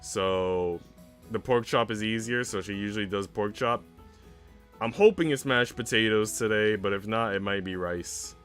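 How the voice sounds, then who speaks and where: A man's voice: fairly low pitch, normal speed, fairly bright tone. A young man streaming, chatting casually in a slangy, laid-back way. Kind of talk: casual livestream chat. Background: music.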